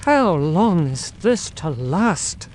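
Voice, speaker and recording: Man putting on a high-voiced, grumbling police inspector. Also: big pitch swings, slow pace, darkish tone, a little hiss or hum. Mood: impatient. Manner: gruff, grumbling. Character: gruff, impatient, sceptical male police inspector. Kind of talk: dramatised audiobook reading.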